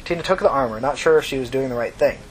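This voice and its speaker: A man's voice: mid-range voice, racing, slight background noise, low-quality recording. A man narrating scripted fiction as the reader of a story.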